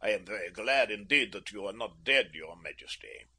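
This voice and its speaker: A man reading an audiobook, voicing a polite, ironic army lieutenant in a measured, slightly lower voice. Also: animated, sharp tone, husky, heavily acted.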